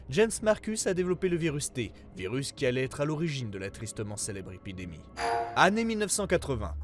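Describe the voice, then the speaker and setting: High-pitched, expressive male narrator. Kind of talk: scripted narration of an investigation report.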